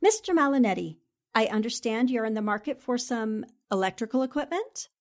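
A woman's voice: a little high, lively intonation, brisk. An actress playing a determined, poised and polite newspaperwoman in a 1940s radio drama. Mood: cool, coy.

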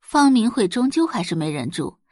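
Female audiobook narrator for dramatic fiction, animated storytelling delivery with a slightly husky tone. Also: on the high side, normal speed, no echo. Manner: expressive storytelling.

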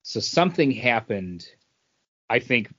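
A man's voice: mid-range voice, very animated, slow pace, bright, forceful tone. Regular mid-range guy, casual podcast chat, explaining stuff as he thinks, bit lo-fi. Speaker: comic book podcast co-host. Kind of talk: casual book discussion chat.

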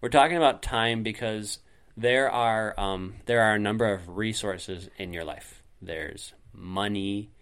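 A man's voice: on the low side, a little slow, few pauses, fairly bright tone, smooth. A man co-hosting a podcast in casual chat, explaining points at length with frequent ums. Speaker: podcast co-host.

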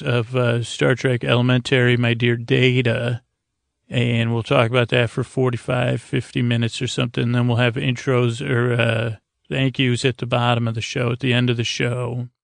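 A man with a creaky voice hosting a sleep podcast, talking in a meandering, halting, gently goofy and lighthearted way. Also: mid-range voice, level tone, no room sound, clean studio sound. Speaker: podcast host. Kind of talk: rambling, informal sleep podcast monologue.

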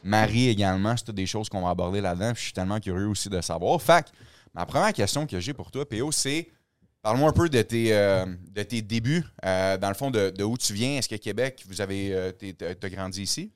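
A man's voice: lowish voice, brisk, clear, resonant tone, dry recording. A man with a Québécois accent hosting a podcast, asking questions in an eager, upbeat casual way. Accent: Québécois French. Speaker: podcast host.

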